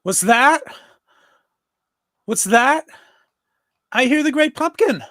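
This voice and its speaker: Man plays hopeful cartoon little boy, wide-eyed and gullible, high voice, unhurried pace. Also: expressive, sharp tone, dry recording, studio quality, full character voice. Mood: awed excitement. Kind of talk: live comedic table read of a cartoon.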